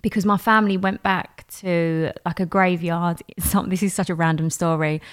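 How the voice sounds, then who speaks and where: A woman's voice: fairly low pitch, fairly even intonation, normal speed, clear, resonant tone. A woman from East London with a Cockney accent, telling stories as a podcast guest and laughing easily. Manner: chatty storyteller, laughs easily. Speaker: songwriter guest. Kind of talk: casual interview chat.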